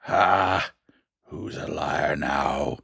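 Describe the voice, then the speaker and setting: A man voicing a blunt, gruff townsman who speaks his mind. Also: very deep voice, unhurried, no echo, clean studio sound, exaggerated voice. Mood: mocking. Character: gruff shouting man, skeptical.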